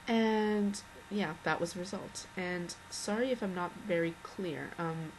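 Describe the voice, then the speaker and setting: Earnest young woman with a low voice. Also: a little slow, a little hiss or hum, poor audio. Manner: rambling, earnest, many restarts and fillers. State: slightly worn-out voice. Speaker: fan addressing a singer. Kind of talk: unscripted video message.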